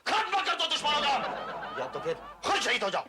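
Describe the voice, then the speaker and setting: An actor playing a blustering, domineering army major husband who barks pompous, mocking orders in a broad sitcom style. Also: male voice, extremely high pitch, huge pitch swings, normal speed, very noisy, exaggerated voice. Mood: rousing, commanding. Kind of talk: broad Indian TV sitcom acting.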